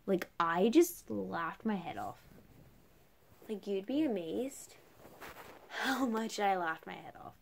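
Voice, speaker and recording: A young woman talks as a casual vlogger, with an ordinary mid-pitched voice. Her delivery is chatty and rambling, leaning heavily on "like" as she works through a personal rant. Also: expressive, slow, creaky, pauses often. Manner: chatty, rambling, heavy use of "like".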